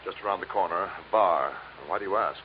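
Man acting anxious, low-voiced archaeologist in radio drama. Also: fast, lightly acted. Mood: wary. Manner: hesitant, stammering, polite. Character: anxious, educated male archaeologist, evasive and desperate. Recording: dull, noisy old radio recording.

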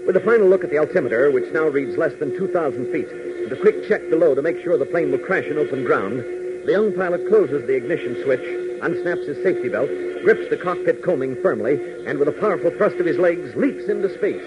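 A man's voice: a little high, wildly swooping pitch, brisk, over music. A man narrating and announcing a 1940s radio serial with dramatic, theatrical delivery. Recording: noisy, dull vintage 1940s radio recording.

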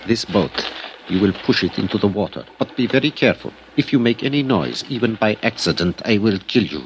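A desperate Mexican gunman, acted by a man in an old radio crime drama, with heavy noise over the recording. His low voice carries a Mexican accent and cold, curt, controlled menace. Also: expressive, fairly quick, dull audio, lightly acted. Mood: desperate, commanding. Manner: curt, controlled threatening commands.

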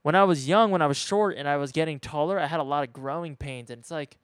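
A man's voice: fairly high pitch, fast, clean tone, no room sound, clean studio sound. A man in his twenties chatting casually, rambling and laid-back, swearing now and then. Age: 20s. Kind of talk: casual chat.

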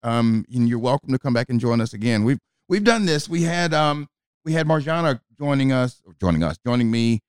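A man's voice: mid-range voice, expressive, brisk, a little mellow, smooth, dry recording. A man hosting a casual podcast chat, joking and rambling with fillers. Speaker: podcast host.

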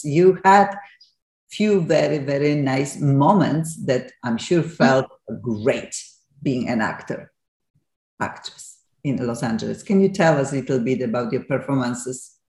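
A talk radio host, interviewing a guest with long, winding questions. The voice sits mid-pitch and is recorded in a roomy space with a noticeable echo. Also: moderate pace, darkish tone. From Poland.

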